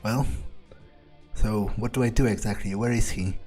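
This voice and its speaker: A man's voice: lowish voice, steady tone, normal speed, darkish tone. A man doing in-character roleplay commentary over a game, thinking aloud hesitantly with casual menace. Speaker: gaming video player. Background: faint music.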